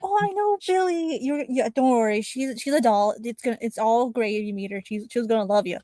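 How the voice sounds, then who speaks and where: A game master voicing a chirpy, friendly young woman student who talks in a high, bubbly way. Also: brisk, warm tone, no echo. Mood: reassuring.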